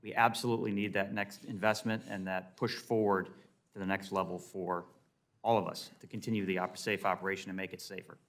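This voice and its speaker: A man's voice: low-pitched, level tone, a little fast, a bit bright. An American man, an airline pilot, giving unscripted, emphatic and explanatory testimony at a hearing.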